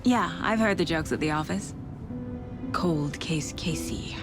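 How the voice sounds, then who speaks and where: A woman's voice: low-pitched, unhurried. An actress playing a dry, level-headed female detective in a video game, wry and conversational. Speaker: detective partner. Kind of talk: cinematic video game dialogue. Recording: loud music under the voice.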